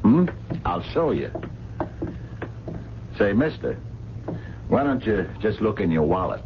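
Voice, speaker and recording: A deep-voiced, sardonic diner man, impatient and slangy, his delivery swinging widely in pitch. The old radio drama recording is dull with a little noise. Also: sing-song, takes it slowly, full character voice. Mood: probing. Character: gruff, cynical working-class diner man, grumbling and sardonic. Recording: dull, noisy vintage radio broadcast.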